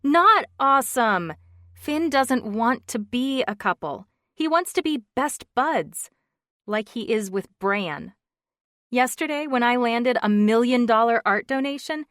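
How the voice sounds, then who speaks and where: A woman's voice: fairly high pitch, expressive, slow pace, fairly bright tone, dry recording, voice pushed up. A woman voicing a frustrated woman in her thirties, wry and exasperated, in a dry, close reading. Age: 30s.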